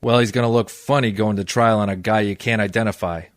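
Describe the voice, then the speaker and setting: Male actor as hard-boiled private eye, low voice, dry close sound, deadpan sarcasm, old-time radio drama. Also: quick pace, dry recording, natural voice. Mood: mocking. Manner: sardonic, deadpan. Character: wisecracking, cynical hard-boiled private detective. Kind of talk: old-time radio detective acting and narration.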